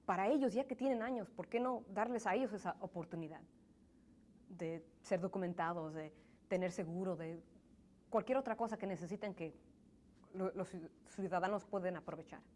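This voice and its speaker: A woman's voice: fairly low pitch, normal speed, a little mellow, slight background noise. A young woman answering interview questions in an earnest, thoughtful way, often restarting her sentences; she grew up in the United States in a Mexican family, and English is her first language. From Mexican family, raised in the United States. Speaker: interview guest. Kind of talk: conversational interview.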